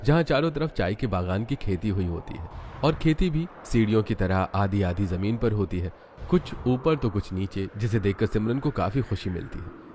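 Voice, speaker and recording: A man's voice: fairly low pitch, darkish tone, slight background noise. A man reading scripted narration for a cartoon family story.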